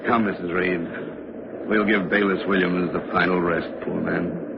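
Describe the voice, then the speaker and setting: A weary frontier settler with a deep, grave voice. He speaks in measured, sombre radio-drama style. Loud noise runs through the dull old recording. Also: steady tone, vocal fry. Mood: grim, resigned. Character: grave, weary pioneer man keeping a snowbound diary. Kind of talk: 1940s-50s radio drama acting and narration.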